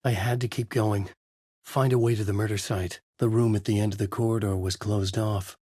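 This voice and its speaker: Husky man with a brooding noir voice. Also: fairly low pitch, steady tone, normal speed, mellow, dry recording, studio quality. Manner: brooding, noir-like inner monologue. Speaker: video game protagonist, a writer. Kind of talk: hard-boiled game narration.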